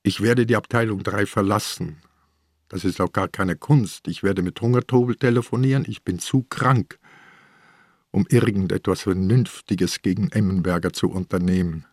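An older man acting a gruff, wary, sick old police inspector in a German radio drama. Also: sits low, normal speed, no echo, studio quality. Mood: brooding.

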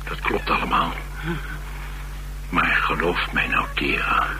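Cynical adventurer in a radio play, emphatic, deep voice, bit of hiss and hum. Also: male voice, slow, gravelly, exaggerated voice. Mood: intense, persuasive. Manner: persuasive, emphatic monologuing. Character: cynical, battle-hardened male adventurer who craves danger. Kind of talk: radio drama acting.

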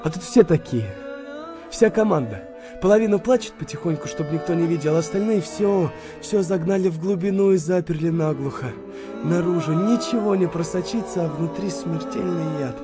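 An actor playing a shell-shocked, brooding young British sailor in a wartime audio drama, speaking in halting, emotional monologue. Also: male voice, fairly high pitch, animated, close to an everyday voice. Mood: anguished. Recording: music under the voice.

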